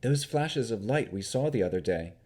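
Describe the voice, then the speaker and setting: A thoughtful young female companion, as a man voices her in a storybook reading. The voice is only slightly lifted from his own lowish register. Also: animated, normal speed, darkish tone.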